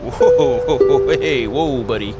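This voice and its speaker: A man's voice: mid-range voice, extremely slow. A man hosting a gaming video, reading a story aloud in a goofy way and breaking off for joking asides, with game music behind him. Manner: goofy, giggly, exclaiming, drifts between reading and quips.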